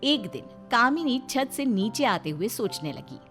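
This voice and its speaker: A woman's voice: on the high side, very animated, unhurried, fairly bright tone. A woman narrating a scripted family story as a storyteller. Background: music.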